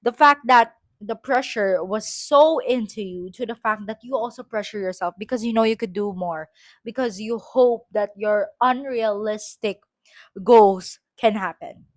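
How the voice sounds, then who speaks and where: A woman's voice: mid-range voice, unhurried, dry recording. A woman with a Filipino accent reads her own school essay aloud, breaking off for chatty, self-correcting asides.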